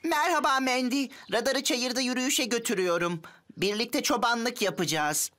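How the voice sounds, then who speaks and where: A woman's voice: a little high, moderate pace, fairly bright tone, a little hiss or hum, lightly acted. A woman voicing a cheeky, boastful young schoolboy in a children's cartoon dub, cocky and persuasive. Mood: eager, boastful.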